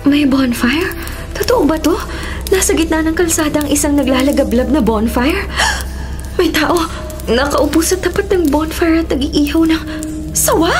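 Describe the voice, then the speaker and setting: High-voiced, frightened young vlogger. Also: female voice, animated, moderate pace, over music. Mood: bewildered, afraid. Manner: breathless, pleading, panicky. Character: frightened young woman travel vlogger haunted by a curse. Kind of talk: radio drama acting.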